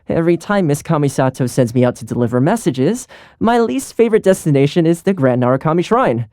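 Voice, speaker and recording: An actor playing a friendly young male housekeeper in a video game, speaking in a warm, easygoing, earnest and chatty way. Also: on the high side, animated, a little fast, no room sound, studio quality, lightly acted. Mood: nervous, sheepish. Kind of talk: scripted game character voice lines.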